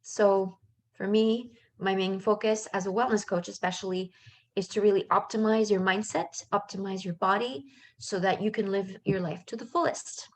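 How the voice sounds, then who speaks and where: A woman's voice: medium pitch, fairly even intonation, normal speed, a little hiss or hum. A middle-aged woman who was born in Canada and raised in France, a life coach giving a casual live webinar in a chatty, upbeat way with lots of fillers and asides.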